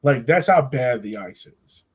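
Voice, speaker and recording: Guy hosting a fan podcast, casual sports chat, slangy and into it, mid-pitched voice. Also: animated, unhurried, background noise. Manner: informal, slangy, enthusiastic. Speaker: podcast host.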